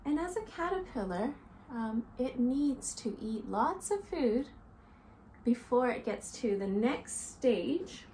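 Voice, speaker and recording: A woman in her thirties speaking as a teacher to young children, reading a picture book and explaining it simply in a deliberate, instructive way. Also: on the high side, sing-song, dark tone, slight background noise, poor audio. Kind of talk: expressive picture-book read-aloud and simple lesson for children. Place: small living room, indoors.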